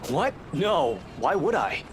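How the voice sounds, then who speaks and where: A man's voice: on the high side, big pitch swings, takes it slowly, soft background music. A man voicing a brusque, teasing but loyal young man in a visual novel game, with terse, dry delivery. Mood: defensive, concerned. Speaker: video game character.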